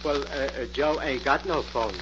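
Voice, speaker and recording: An actor playing a fearful, harmless, simple country fellow in a 1940s radio drama, talking in a hesitant, folksy way. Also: male voice, medium pitch, moderate pace, close to an everyday voice. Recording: muffled, very noisy old-time radio recording.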